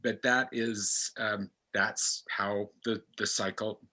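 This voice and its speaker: Bright, forceful man talking earnestly. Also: lowish voice, fairly even intonation. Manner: halting, repeats phrases, earnest. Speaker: actor and podcast panelist. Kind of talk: casual reflective discussion.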